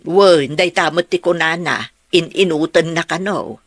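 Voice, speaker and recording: A woman's voice: deep voice, measured pace, no room sound, studio quality. A woman acting an easygoing villager in an audio drama, with a Filipino Ilocano accent. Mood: chatty.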